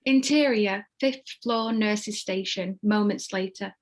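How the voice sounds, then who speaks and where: Mid-pitched woman narrating a screenplay. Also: takes it slowly. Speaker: narrator reading stage directions. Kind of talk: scripted narration.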